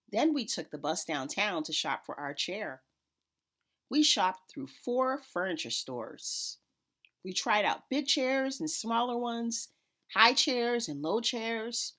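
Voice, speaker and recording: Low-pitched female narrator, expressive read-aloud of a picture book for young children. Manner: expressive, acts out characters' dialogue. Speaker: school principal reading a picture book aloud.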